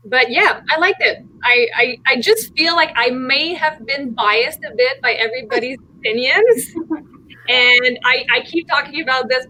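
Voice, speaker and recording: Female book vlogger. Highish, forward bright tone, keen and chatty, slightly noisy video-call audio. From Montreal, Quebec, Canada. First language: French. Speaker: book YouTuber, panel guest. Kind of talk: casual chat.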